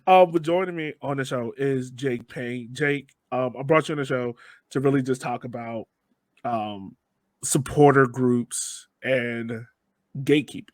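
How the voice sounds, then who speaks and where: A man's voice: mid-range voice, measured pace, dry recording. A man hosting a casual podcast interview, talking informally and thinking aloud as he frames his questions. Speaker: podcast host.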